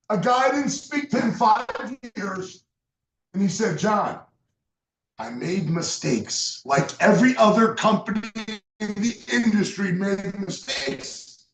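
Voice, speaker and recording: Loud high-voiced man speaking in an echoey room. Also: expressive, measured pace. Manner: loud, emphatic, shouts for stress. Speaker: business leader speaking at a recruiting event. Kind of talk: motivational sales speech. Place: meeting room with an audience. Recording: echoey room, mic from far off.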